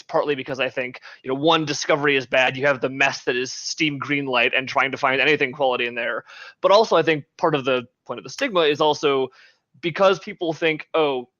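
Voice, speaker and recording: A man's voice: a little high, bright, forceful tone, no room sound. A man on a podcast panel, talking casually and explaining his opinions at length, on slightly dull lo-fi audio.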